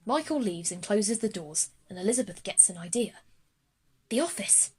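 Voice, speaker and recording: A woman's voice: mid-range voice, animated, fairly quick, a little mellow, slightly rough. A woman reading scripted narration as the narrator of an audio drama for children.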